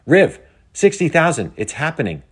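A man voicing an excitable, geeky schoolgirl who chats brightly to livestream viewers in a children's story. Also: fairly low pitch, moderate pace, pitched above the performer's own voice. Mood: thrilled. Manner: bubbly, chatty, addressing viewers. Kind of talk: scripted children's audio story.

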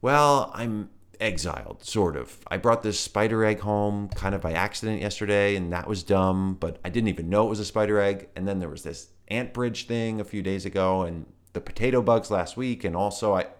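A jittery young firefly boy, tumbling over his words and stammering when cornered, pitched noticeably above a deep-voiced storyteller's own tone, as a man puts him on. He sounds like a storybook hero who is always in trouble. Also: lively intonation, natural voice. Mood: flustered. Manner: stammering, rushed. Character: anxious young male firefly outcast.

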